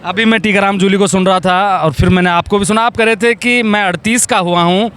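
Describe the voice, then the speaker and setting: A man's voice: high voice, steady tone, fairly quick, fairly bright tone, smooth. A man working as a reporter, putting questions in a field interview.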